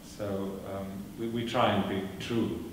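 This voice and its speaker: A man whose first language is Welsh, a performer speaking in a measured, thoughtful way with many fillers and restarts, heard from a distance in a large lecture hall. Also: on the low side, steady tone, a little hiss or hum. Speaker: performer giving a talk. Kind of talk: lecture answering audience questions. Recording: echoey hall recorded from a distance.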